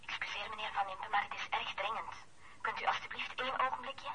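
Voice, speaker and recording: An actress playing a discreet office assistant in a radio play, speaking urgently and confidentially in a hushed voice. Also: female voice, medium pitch, steady tone, fast talker, slight background noise, close to an everyday voice. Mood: urgent, polite.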